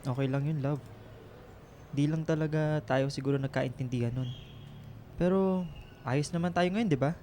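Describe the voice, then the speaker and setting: A man's voice: on the high side, moderate pace, long pauses, mellow, slight background noise, lightly acted. A man playing a devoted, patient young boyfriend in a radio romance drama, with a soothing, coaxing way of talking. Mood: forgiving, tender.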